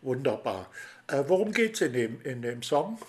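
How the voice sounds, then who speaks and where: A man's voice: medium pitch, big pitch swings, moderate pace. A man hosting an interview, asking rambling, friendly questions full of fillers. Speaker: interview host. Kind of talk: chatty radio-style interview.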